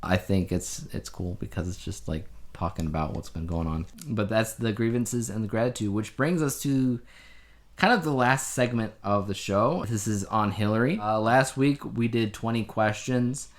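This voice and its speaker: A man's voice: lowish voice, normal speed. A man co-hosting a casual podcast, talking in a rambling, anecdotal way. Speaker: podcast co-host. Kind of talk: casual conversational podcast chat.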